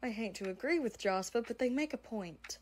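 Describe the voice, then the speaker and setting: A woman's voice: on the high side, animated, fairly quick, background noise, lightly acted. A woman playing a serious, exacting aide with a formal, dry manner in an audio roleplay. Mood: serious, concerned. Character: serious, exacting female crime-business aide, dryly exasperated. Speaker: voice actor. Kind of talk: amateur audio roleplay acting.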